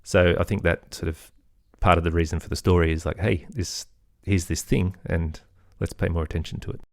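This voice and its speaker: A man's voice: deep voice, steady tone, a little fast, vocal fry, darkish tone, no echo, clean studio sound. A middle-aged man giving thoughtful, earnest answers as an interview guest in a conversational way. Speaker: interview guest, podcaster and musician.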